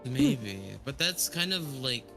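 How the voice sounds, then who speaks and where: A man's voice: medium pitch, lively intonation, takes it slowly, bright, forceful tone, soft background music. A man chatting casually with friends, hesitant and restarting, full of "like". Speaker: co-player. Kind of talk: casual chat.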